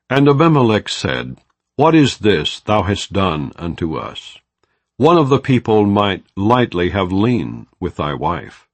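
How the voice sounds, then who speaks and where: A man giving a measured, solemn reading of scripture, recorded in a dry studio. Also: low voice, no room sound. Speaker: Bible reader.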